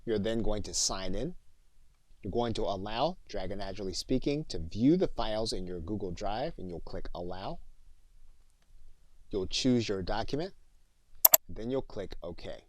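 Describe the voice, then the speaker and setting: A man with a fairly low voice narrates a training tutorial. He speaks as an instructor, methodically guiding the listener through each step. The sound is very dry, with no room around the voice. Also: lively intonation, a little slow, pauses often, darkish tone, no echo, rough recording.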